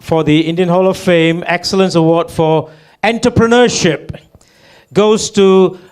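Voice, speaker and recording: A man's voice: high-pitched, steady tone, measured pace, a little mellow, smooth. A man hosting an award ceremony, making formal announcements with fillers between them. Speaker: emcee.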